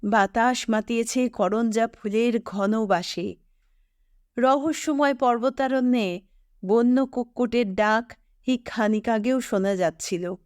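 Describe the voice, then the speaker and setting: Female audiobook narrator, higher register, unhurried delivery, dry studio-like sound. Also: darkish tone, no echo. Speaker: storyteller reading fiction aloud. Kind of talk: scripted audiobook narration of a literary story.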